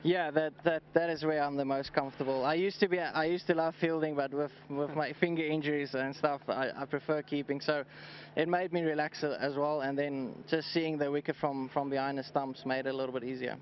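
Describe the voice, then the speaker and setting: A South African man whose first language is Afrikaans, a cricketer giving a halting post-match interview at pitch side, often repeating words. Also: steady tone, a little hiss or hum. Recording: slightly dull outdoor broadcast audio.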